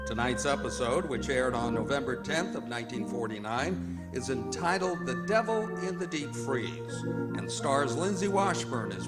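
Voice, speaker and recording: Slow, lively male radio announcer, loud music. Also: mid-range voice. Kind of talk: 1940s radio announcing, scripted narration.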